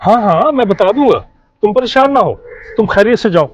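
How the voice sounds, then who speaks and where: A glib, scheming con man played by a male actor in a homemade moral drama. His voice sits a little high. He talks with flattering deference and a self-satisfied streak. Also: dry recording, lo-fi sound. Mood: false sympathy. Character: sly, flattering con man cheating a rich employer. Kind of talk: amateur moral-story video skit acting.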